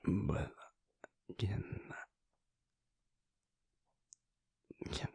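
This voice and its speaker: A man playing a soft, unsure werewolf boyfriend in an intimate audio roleplay, breathy and husky, murmuring with hesitant stammers. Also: extremely slow, no room sound. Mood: pleading, tender. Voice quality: breathy, husky, half-whispered. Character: soft, unsure, shy, grumpy-but-endearing werewolf boyfriend.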